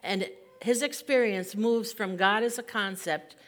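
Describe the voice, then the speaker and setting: Woman, 60s, missionary speaker; earnest, reflective storytelling, mid pitch. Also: a little slow. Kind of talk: sermon with personal testimony. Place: church service.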